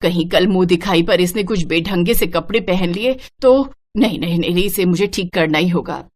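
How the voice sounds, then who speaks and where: A woman's voice: fairly low pitch, normal speed, natural voice. Woman voicing a bossy, scolding Indian mother-in-law. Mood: worried, disapproving. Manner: scolding, domineering. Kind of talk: animated moral-story cartoon dialogue.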